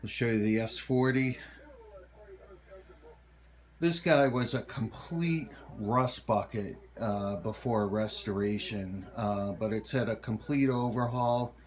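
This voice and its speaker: A middle-aged man giving a casual, halting show-and-tell as a hobbyist, unhurried and keen on the details. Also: lowish voice. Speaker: hobbyist presenter of a radio collection.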